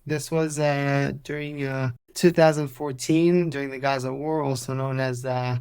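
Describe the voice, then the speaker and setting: A man in his twenties with an Israeli accent, a native Hebrew speaker, telling his story as an interview guest in a measured, halting way. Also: medium pitch, steady tone, a little mellow, smooth. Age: 20s. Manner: measured, halting, many "uh" pauses. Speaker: guest, former soldier.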